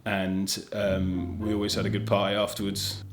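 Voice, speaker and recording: A man's voice: lowish voice, monotone, normal speed. A man, an actor, telling anecdotes in a casual interview style. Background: guitar music.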